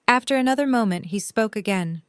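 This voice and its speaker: A woman's voice: a little high, expressive, fairly quick, clear, resonant tone, no room sound, studio quality. A woman narrating a scripted audio drama story in prose.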